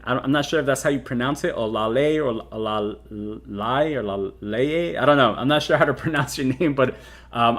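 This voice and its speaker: Rambling man hosting a crypto stream. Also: medium pitch. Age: 30s. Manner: rambling with many fillers and restarts. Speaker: crypto show host. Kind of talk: casual livestream chat.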